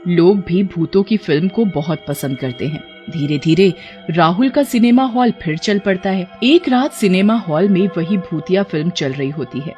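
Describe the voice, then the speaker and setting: A woman's voice: lowish voice, moderate pace, warm tone, highs cut off. A woman narrating a scripted cartoon story. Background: background music.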